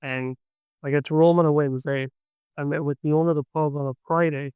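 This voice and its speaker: A man's voice: a little high, fairly even intonation, fast, clear, resonant tone, no room sound, highs cut off. An Irish man, a young adult folk singer, chatting enthusiastically in an interview and telling stories. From Ireland. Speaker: folk singer-songwriter guest. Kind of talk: casual interview chat.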